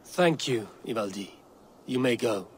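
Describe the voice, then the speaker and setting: An actor playing a weary, calculating Norse god-warrior in a video game, speaking in a solemn, measured, formal way. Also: male voice, mid-range voice, animated, slight background noise, close to an everyday voice. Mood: curt, stern. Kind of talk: cinematic video game acting.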